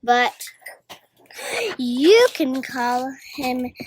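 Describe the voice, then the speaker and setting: Young girl, high-pitched, slow hesitant reading, stumbles on long words, story dialogue. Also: a little hiss or hum, low-quality recording. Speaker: volunteer story reader. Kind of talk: halting read-aloud of story dialogue.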